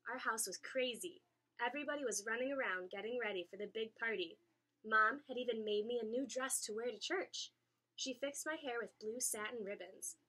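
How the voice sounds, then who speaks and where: A woman reading a children's story aloud in an expressive, animated way, acting out the dialogue. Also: on the high side, moderate pace, rough recording. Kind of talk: expressive read-aloud narration.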